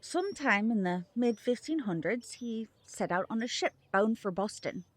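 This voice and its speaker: An actress playing a composed, knowledgeable mother with a measured manner in an audio drama. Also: fairly high pitch, lively intonation. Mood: storytelling.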